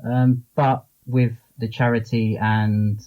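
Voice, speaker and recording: A man's voice: medium pitch, level tone, slow, phone-quality sound. A man being interviewed as a guest, talking casually and informally in loose, rambling explanations. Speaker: interview guest, comics charity founder and publisher.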